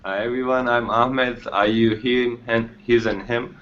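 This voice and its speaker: A man's voice: medium pitch, fairly even intonation, measured pace, a bit bright, lo-fi sound. A young man, a senior student, introducing himself plainly. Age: young adult. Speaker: student panelist. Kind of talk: casual self-introduction.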